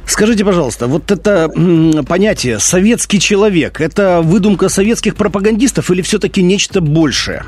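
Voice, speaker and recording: A man's voice: high voice, expressive, brisk, hardly any pauses, darkish tone, slightly rough, faint music behind. A man hosting a live radio interview, asking polite questions with hesitant fillers. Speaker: radio host.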